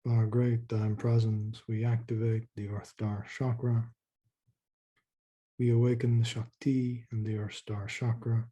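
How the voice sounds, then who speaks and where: A man leading a guided meditation in a soft, slow, calming way, with long pauses and repeated words. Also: medium pitch, husky, muffled recording.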